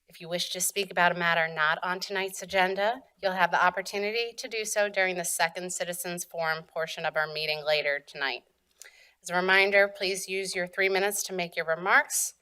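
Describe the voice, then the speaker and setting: A woman's voice: on the low side, fairly even intonation, brisk, sharp tone, clear, resonant tone. A woman chairing a township board meeting, reading procedure politely and steering speakers with courteous apologies into a desk microphone.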